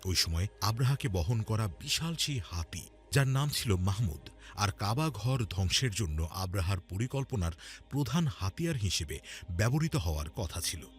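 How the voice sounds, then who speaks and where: A man narrating a scripted religious cartoon story in a dramatic storytelling style. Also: fairly low pitch. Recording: music under the voice. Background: background music.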